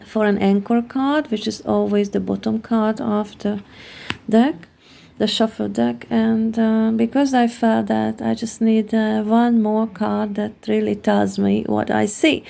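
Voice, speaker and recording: A woman with a Hungarian accent talks through a card reading at an unhurried pace. Her voice is very gravelly with a lot of vocal fry, and its tone is dark and mellow. She pauses often to search for the next word. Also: mid-range voice. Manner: halting, searching for words. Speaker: tarot card reader.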